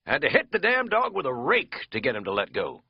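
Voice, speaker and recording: A man doing a high, folksy backwoods innkeeper. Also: wildly swooping pitch, fairly quick, gravelly, husky, no echo, muffled recording, exaggerated voice. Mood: gossipy. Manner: folksy rural speech, high and loud. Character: folksy rural male innkeeper, middle-aged and blunt.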